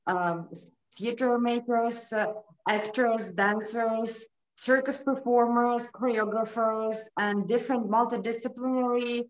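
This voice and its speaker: A woman's voice: a little high, fairly even intonation, measured pace, echoey room, poor audio. A woman from Ukraine, a guest in an interview who speaks earnestly with many hesitations. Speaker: program coordinator, guest. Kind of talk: casual video interview.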